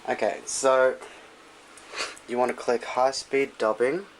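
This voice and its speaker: Man, average pitch, casual tutorial presenter, offhand, informal, noisy recording with clicks. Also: steady tone, takes it slowly. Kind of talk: casual hands-on tutorial chat.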